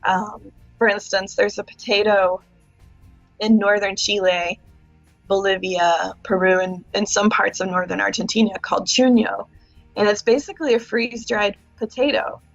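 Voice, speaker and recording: A woman's voice: medium pitch, steady tone, moderate pace, vocal fry, no echo, soft background music. A woman talking casually as a guest, a food blogger who explains things at length with small restarts. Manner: chatty, detailed explainer. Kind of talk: casual interview chat. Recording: dull lo-fi recording.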